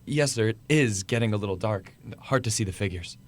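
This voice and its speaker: Man as a meek, low-voiced clerk. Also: a little fast, lightly acted. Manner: timid, polite, eager to please. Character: meek, kindly, deferential poor male clerk. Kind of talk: radio drama acting.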